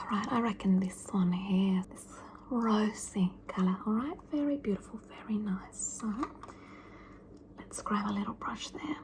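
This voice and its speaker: A woman doing a whispered ASMR roleplay as a fussy, pampering mother who calls her child darling and scolds her gently. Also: mid-range voice, noisy background, close to an everyday voice. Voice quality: soft whisper. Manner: doting yet scolding, lingering and drawn-out.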